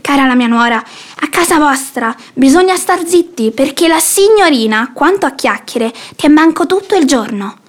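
A woman's voice: high-pitched, lively intonation, moderate pace. An actress playing an imperious, sanctimonious old mother-in-law in a theatrical radio play, haughty and preachy. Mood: scolding, outraged.

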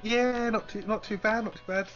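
Higher-pitched man, casual and hesitant, muffled recording. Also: fairly even intonation, moderate pace, a bit bright, faint music behind. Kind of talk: casual chat.

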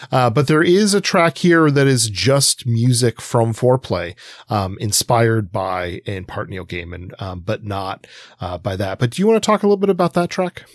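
A man co-hosting a podcast, discussing music casually in a thoughtful, halting way with frequent pauses. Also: medium pitch, no echo, clean studio sound. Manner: halting, thoughtful, pausing often. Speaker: podcast co-host.

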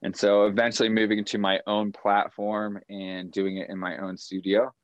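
A man's voice: lowish voice, level tone, normal speed, no room sound, muffled recording. An American man of thirty chatting casually and candidly in a laid-back way, as a guest on a podcast. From Colorado, United States. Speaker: yoga teacher, podcast guest. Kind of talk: casual conversation.

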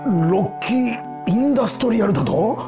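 A man's voice: high voice, animated, normal speed, music in the background. An actor in an audio drama playing a stern, blunt time patrol officer who is torn over his duty. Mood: shocked, challenging. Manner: blunt, gruff, weighty speech.

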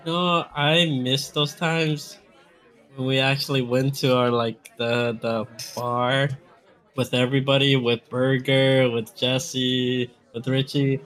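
A man's voice: medium pitch, flat delivery, takes it slowly, a bit bright, some chatter behind, rough recording. A young man co-hosting a casual podcast, stumbling over his words and giggling as he talks. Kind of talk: casual chat.

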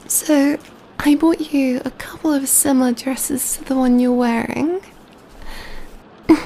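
A very high, mellow female vampire, acted by a woman for an ASMR roleplay, cooing and soothing as she pampers her companion. She takes her time, speaking slowly and tenderly, close to the listener. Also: slight background noise, low-quality recording. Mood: doting, pleased. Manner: tender, cooing, soothing, often dropping to whispers. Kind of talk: ASMR roleplay, soft intimate speech.

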